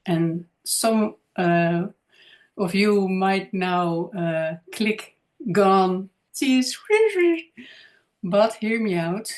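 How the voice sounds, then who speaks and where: Dutch lady vlogging about spiritual stuff, slowish and lowish, lots of ums while she hunts for words. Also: darkish tone. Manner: hesitant, searching for words, frequent fillers. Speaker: content creator. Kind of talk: casual spiritual monologue to camera.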